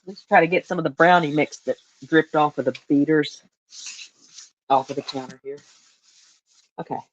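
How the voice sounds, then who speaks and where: A woman hosting a cooking livestream from her home kitchen, chatting to viewers in a rambling way while she works, her voice a little lower than usual from a cough. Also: normal speed, no echo, dull audio. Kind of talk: casual livestream chat while baking. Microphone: phone mic.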